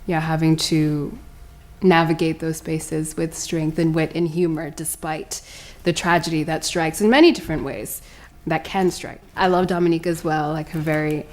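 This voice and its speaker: A woman with a low-pitched voice talks as a guest actor. She gives reflective, personal answers in an interview setting. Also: fairly even intonation, normal speed, darkish tone. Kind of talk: reflective post-show interview.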